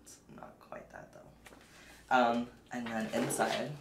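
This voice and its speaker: Young adult vlogger, informal haul presenter, medium-pitched voice, roomy home recording. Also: sing-song, takes it slowly. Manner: informal, chatty. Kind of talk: casual unboxing chat to camera. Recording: roomy echo, clear background noise, clicks.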